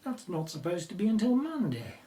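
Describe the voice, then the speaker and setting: A woman's voice: on the low side, very animated, brisk, dark tone. A woman playing a breezy, easily bored British Prime Minister in an audio drama, chatty and self-absorbed, with a British accent. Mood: brisk.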